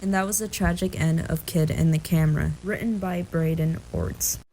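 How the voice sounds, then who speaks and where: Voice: medium pitch, steady tone, moderate pace, slight background noise, low-quality recording. A woman giving an informal, explanatory commentary in the style of a horror film breakdown. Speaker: video commentator. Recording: loud music under the voice.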